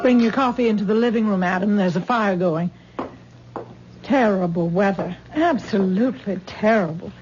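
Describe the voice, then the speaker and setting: A woman's voice: mid-range voice, normal speed, natural voice. An actress playing a level-headed, skeptical woman who talks bluntly and matter-of-factly in a 1970s radio drama. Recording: dull old radio broadcast with faint hiss.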